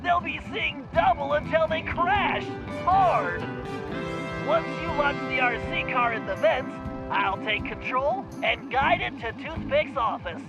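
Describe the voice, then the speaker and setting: A man with an American accent voicing a brainy, earnest gang planner in an animated game, precise and slightly pompous. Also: extremely high pitch, measured pace, dull audio, loud music behind the voice. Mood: instructive. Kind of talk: animated video game acting.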